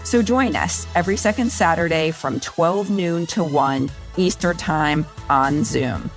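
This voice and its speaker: Lower-pitched middle-aged woman reading a promo. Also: a little slow, soft background music. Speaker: podcast promo presenter. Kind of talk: scripted promo read.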